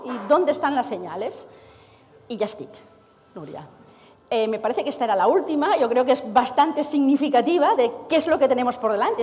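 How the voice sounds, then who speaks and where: A middle-aged woman giving an animated conference talk as a speaker from the energy administration, moving and gesturing on stage before an audience. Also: sits high, a little hiss or hum. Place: large hall with audience. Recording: reverberant hall.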